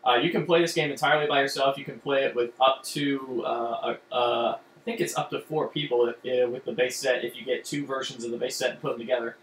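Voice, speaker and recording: A man's voice: medium pitch, steady tone, fairly quick, few pauses, a bit bright. A man streaming from home, casually explaining a card game and rambling with many fillers and restarts. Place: room at home. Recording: echoey room with faint background hum.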